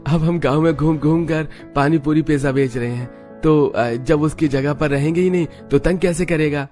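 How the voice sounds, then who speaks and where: A man acts a hardworking young husband selling food from a cart in a cartoon tale. He is eager and polite, pitched up above a narrator's tone. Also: normal speed, a little mellow, soft background music, close to an everyday voice. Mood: proud.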